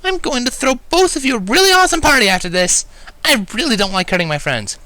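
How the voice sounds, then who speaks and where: A man's voice: very high-pitched, expressive, fast talker, bright, forceful tone, dry recording, voice pushed up, exaggerated voice. A man voicing a hyper, bubbly, affectionate young female cartoon pony, gushing and playful. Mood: apologetic, eager.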